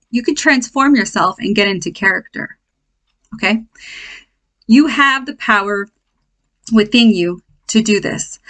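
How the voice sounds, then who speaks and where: A woman hosting an informal spiritual self-help lesson, speaking off the cuff in a halting, conversational way and checking in with listeners. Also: medium pitch, bright, forceful tone.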